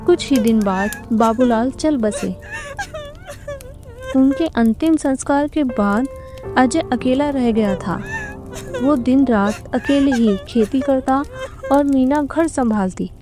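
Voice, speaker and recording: A woman's voice: sits high, takes it slowly, music in the background. A woman narrating a scripted cartoon story as a storyteller.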